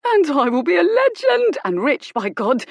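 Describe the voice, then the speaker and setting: A woman's voice: high-pitched, huge pitch swings, moderate pace, gravelly, no echo, dull audio, voice pushed up, heavily acted. A woman putting on a gloating brutish bounty hunter. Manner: boastful, leering. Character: brutish coarse male bounty hunter, greedy and crude.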